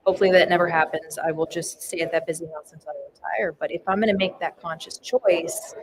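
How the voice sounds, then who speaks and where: A woman's voice: fairly low pitch, fairly even intonation, quick pace. A woman in her thirties, a firefighter, telling a candid personal story in a casual podcast chat. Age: 30s. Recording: noisy live event floor.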